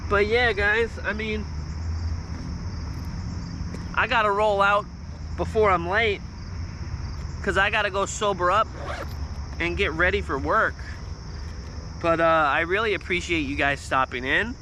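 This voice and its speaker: A man in his thirties with a squeaky, nasal voice, telling a story in a loose, swearing livestream ramble. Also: a little slow, long pauses, background noise. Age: 30s. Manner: swears freely, restarts often, volume spikes. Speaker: vlogger on a livestream.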